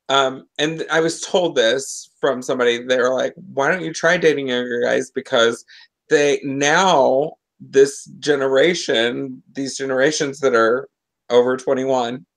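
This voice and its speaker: Woman in her forties on a video call. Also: very deep voice. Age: 40s. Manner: rambling, self-interrupting. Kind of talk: casual chat. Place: living room at home. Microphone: video call webcam.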